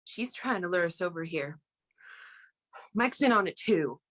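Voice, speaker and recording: An actress playing a suspicious, stubborn forest animal in a staged reading, speaking curtly. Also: female voice, fairly low pitch, animated, unhurried, a bit bright. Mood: suspicious, reluctant. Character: wary, stubborn forest animal named Sandy.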